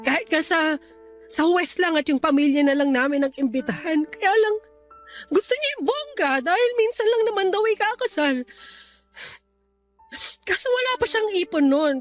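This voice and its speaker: A woman's voice: high-pitched, expressive, moderate pace, faint music behind. A woman playing a weary, resentful young wife in a Tagalog radio drama, venting plaintively and hesitantly to her cousin. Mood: regretful, bitter.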